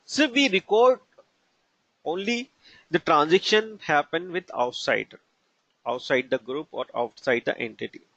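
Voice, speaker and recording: A man with an Indian accent gives an instructional lecture in a slightly high voice. He moves haltingly, phrase by phrase, as he explains each example. The recording is dry and close, with a dull, lo-fi sound. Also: animated, creaky, fairly bright tone, no room sound. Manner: halting, broken into short phrases. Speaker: trainer.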